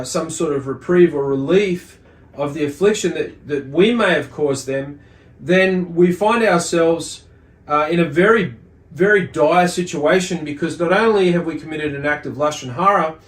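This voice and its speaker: A man with a slightly high voice speaks in an echoey room. He teaches like the host of a religious study series, explaining texts carefully. His phrasing is deliberate and halting, often circling back over a word. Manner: halting, deliberate phrasing with repeated words and pauses. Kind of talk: explanatory lesson with readings and commentary.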